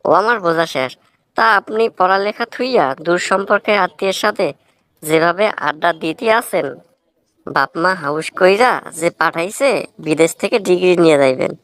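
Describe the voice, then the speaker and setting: A woman's voice: fairly low pitch, lively intonation, normal speed, fairly bright tone. A woman voicing an earnest, talkative young village student in a cartoon comedy, speaking in a rustic Barisal accent with blunt, moralizing manners. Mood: admonishing. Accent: Barisal Bangla. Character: earnest, plain-spoken young village man, scholarship student.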